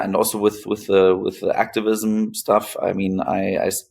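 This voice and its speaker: Low monotone guy, podcast guest talking haltingly, pauses a lot. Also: vocal fry, breathy edge. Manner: hesitant, pausing often between phrases. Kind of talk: interview conversation, recounting own experience.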